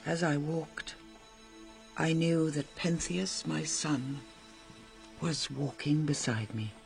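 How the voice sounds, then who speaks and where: A royal mother heavy with grief and guilt in a solemn radio drama. She speaks slowly and mournfully, with a gravelly creak in the voice. Soft music sits behind her. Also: deep voice, steady tone, exaggerated voice. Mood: grieving, reflective. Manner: slow, measured, mournful.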